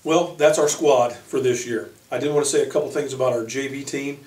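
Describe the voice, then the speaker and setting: An American man speaking as a basketball coach, informally introducing his team in halting short phrases. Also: mid-range voice, fairly even intonation, darkish tone. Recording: echoey room, distant microphone.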